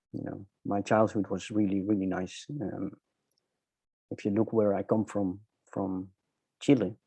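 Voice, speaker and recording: Deep, gravelly middle-aged man with Dutch accent. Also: dark tone, dry recording. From Netherlands. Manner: halting, searching for words. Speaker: interview guest. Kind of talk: reflective personal storytelling in conversation.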